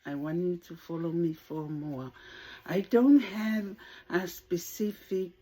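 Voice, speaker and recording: A woman's voice: on the low side, fairly even intonation, slow, mellow. A Samoan woman in her sixties, speaking English as a second language, talking to camera in a hesitant way as she searches for words. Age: 60s. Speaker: vlogger. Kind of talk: casual talk to camera.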